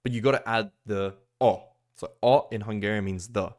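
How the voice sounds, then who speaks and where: Young man, lowish voice, rambling bedroom vlog, heavy on fillers. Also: normal speed. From Australia. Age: young adult. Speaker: language-learning vlogger. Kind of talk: casual talking-head vlog. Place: small bedroom, indoors.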